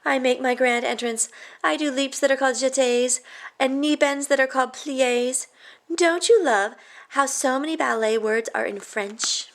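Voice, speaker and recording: Woman, high voice, storybook read-aloud, expressive, animated delivery. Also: normal speed. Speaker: storybook reader. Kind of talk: expressive children's picture-book read-aloud.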